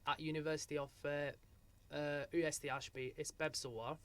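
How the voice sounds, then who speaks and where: A man's voice: on the high side, little pitch movement, fairly quick, fairly bright tone. A young man from Algeria, a guest in a casual interview, telling his story with frequent restarts and a repeated "so what happened?".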